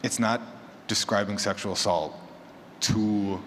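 A man with a lowish voice speaks as a panelist at a live event. He is opinionated and repeats himself for emphasis. His voice carries through a reverberant hall with light background noise. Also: fairly even intonation, measured pace, a bit bright. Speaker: panelist, horror fiction podcaster. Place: large conference room with audience.

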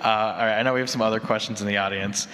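A man's voice: fairly low pitch, steady tone, racing, sharp tone. A man speaking conversationally as moderator and podcaster at a live panel, often checking in with "you know" and "right?". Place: large conference room with audience. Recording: echoey hall with background noise.

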